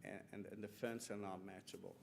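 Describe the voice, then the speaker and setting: Halting man, low voice. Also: fairly even intonation, creaky, very noisy. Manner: halting, many restarts and "okay?" checks. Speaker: invited speaker, professor. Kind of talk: panel Q&A answer.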